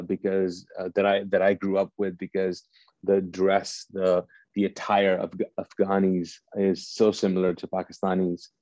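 A man in his forties, from Kuwait, gives a personal, reflective talk to his audience in a halting, thoughtful way, searching for his words. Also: low voice, dark tone, no room sound, highs cut off. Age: 40s. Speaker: speaker addressing an audience.

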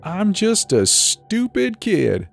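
A man's voice: a little high, big pitch swings, moderate pace, creaky, darkish tone, faint music behind, pitched above the performer's own voice, full character voice. A man voicing a cocky, defiant teenage boy, mocking and breezy, in a dramatic story reading.

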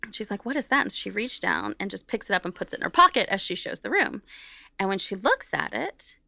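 A woman's voice: mid-range voice, a little fast, creaky, dry recording. A woman co-hosting a podcast, telling a story casually and acting out what people said as she goes. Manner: animated retelling, acts out quoted remarks. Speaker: podcast co-host.

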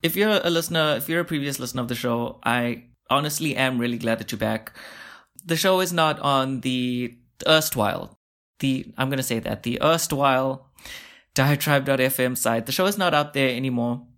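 A man's voice: mid-range voice, normal speed, fairly bright tone. Chatty, self-mocking young podcast guy. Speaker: podcast host. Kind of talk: casual solo podcast monologue.

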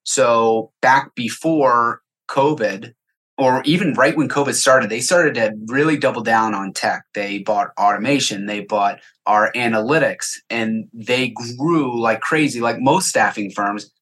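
A man's voice: mid-range voice, measured pace, fairly bright tone. A man speaking as an interview guest, casual and anecdotal, voicing quoted remarks as he explains. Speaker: podcast guest, software sales executive.

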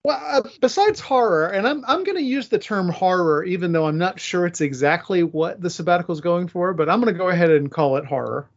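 A man's voice: high voice, a little fast, few pauses, muffled recording. A man hosting a casual discussion podcast, conversational and wry as he moderates. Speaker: podcast host.

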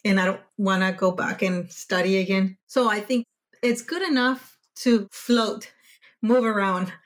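A Spanish-native woman speaks as a podcast guest, her voice sitting at a moderate everyday pitch. She talks in a loose, chatty way, restarting phrases and correcting herself as she goes. When she recalls frustrating moments, she becomes animated. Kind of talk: casual conversational storytelling.

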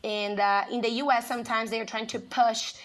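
A young woman, a lawyer speaking as a guest in a radio interview, eager and earnest in short phrases with pauses. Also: a little high, steady tone. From Brazil.

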